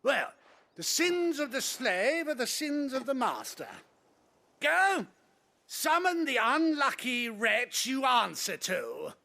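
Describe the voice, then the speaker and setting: A man's voice: very high voice, big pitch swings, takes it slowly, vocal fry, pushed and bright, exaggerated voice. A man playing a pompous, cruel British nobleman in a video game, theatrical and haughty. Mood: indignant, scornful.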